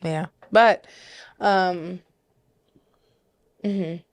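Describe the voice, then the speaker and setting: A chatty, giggly woman co-hosts a casual podcast and keeps finishing her partner's sentences. Her voice sits on the low side and sounds slightly stuffy, and the recording is close and dry. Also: steady tone, very slow, dry recording. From big city. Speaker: podcast co-host. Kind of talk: casual chat.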